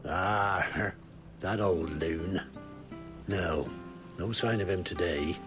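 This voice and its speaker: A man's voice: low voice, extremely slow, music in the background. A man playing a chatty, wry fantasy villager in a video game, speaking colloquially with a British accent. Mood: wry, amused. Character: folksy, chatty middle-aged village man in fantasy realm. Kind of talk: video game cutscene acting.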